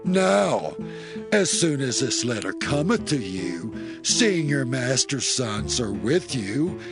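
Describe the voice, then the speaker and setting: Gravelly, fierce warrior king. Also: mid-range voice, sing-song, over music. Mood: stern, commanding. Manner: declamatory, imperious, drawn-out delivery. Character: fierce commanding warrior king of ancient Israel. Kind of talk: dramatized scripture audio drama.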